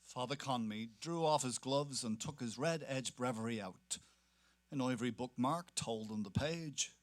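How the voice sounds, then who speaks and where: A man's voice: mid-range voice, moderate pace. A man giving a dramatic reading of literary prose as narration. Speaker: actor reading aloud.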